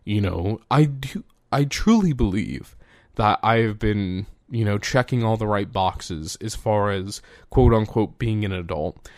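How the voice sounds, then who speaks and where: A man's voice: on the low side, lively intonation, measured pace, no room sound, clean studio sound. A man in his twenties hosting a solo podcast, rambling casually, swearing freely and laughing at himself. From United States. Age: 20s. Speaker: podcast host.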